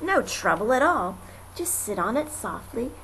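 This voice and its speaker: Woman as a high, wheedling lazy bird. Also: very animated, unhurried, fairly bright tone, exaggerated voice. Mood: coaxing. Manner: coaxing, sweet-talking. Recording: noisy amateur home recording.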